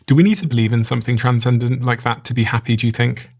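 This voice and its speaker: A man's voice: medium pitch, fast, creaky. A man hosting a podcast, asking curious questions. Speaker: podcast host. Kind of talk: interview questions.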